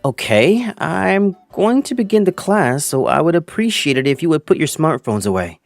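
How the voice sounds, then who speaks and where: A man's voice: on the high side, sing-song, normal speed, gravelly, dry recording. A man voicing an earnest twenty-five-year-old male teacher who narrates his own story and acts out his lines in a manga dub. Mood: hopeful, earnest. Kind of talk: first-person manga dub narration with acted dialogue.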